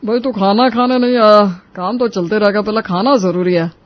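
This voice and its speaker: A man's voice: high voice, steady tone, normal speed, natural voice. A man playing a young office worker in an audio story, talking in a colloquial, persuading way on a muffled recording. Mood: advising. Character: young office worker, weary husband or advising friend. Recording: muffled, dull lo-fi sound.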